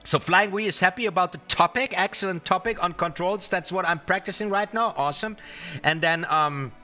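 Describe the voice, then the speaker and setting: A man with a fairly high, bright and forceful voice hosts a casual livestream as a magician. He rambles along, speaking straight to his chat and repeating words to drive a point home. Music plays behind him.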